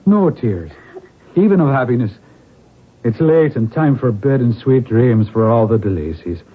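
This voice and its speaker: A man's voice: medium pitch, animated, moderate pace, close to an everyday voice. An actor playing a fond, courtly Irish nobleman father in a 1970s radio drama. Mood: fond, reassuring. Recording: muffled 1970s radio broadcast.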